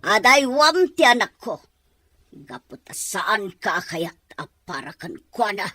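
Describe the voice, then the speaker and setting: A woman's voice: mid-range voice, very animated, takes it slowly, pushed and bright, no echo, full character voice. A Filipino woman playing a bossy, disapproving mother and lady of the house in an Ilocano radio drama, commanding and emphatic. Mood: stern, insistent.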